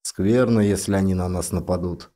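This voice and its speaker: A man playing a grim, cautious scientist on a space expedition, explaining seriously and in a measured way for an audiobook. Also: low voice, fairly even intonation, warm tone, close to an everyday voice. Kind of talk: audiobook drama character voice.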